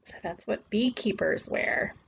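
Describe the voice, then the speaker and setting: A woman reading a children's nonfiction story aloud, addressing young listeners directly with questions and pauses. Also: medium pitch, creaky, a bit bright, slight background noise.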